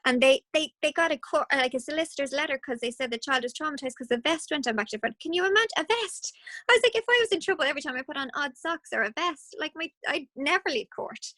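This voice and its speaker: Passionate Irish woman, slightly high voice. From Ireland. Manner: passionate and animated, with quick restarts. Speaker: guest hypnotherapist. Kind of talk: casual interview talk.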